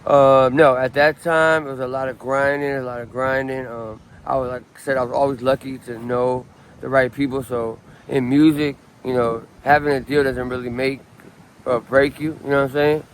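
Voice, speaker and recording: A man's voice: mid-range voice, normal speed, poor audio. A man in his late thirties from Southern California, telling his story in a casual street interview, full of ums and restarts. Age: 30s. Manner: relaxed, rambling, many ums and restarts. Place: outdoors on a city street. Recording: faint street noise outdoors.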